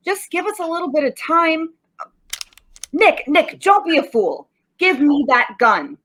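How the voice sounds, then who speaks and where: A woman's voice: extremely high pitch, very animated, measured pace, pushed and bright, a little hiss or hum, full character voice. A woman playing a plain-spoken, placating police detective in 1940s radio style, with folksy, slangy, pleading delivery.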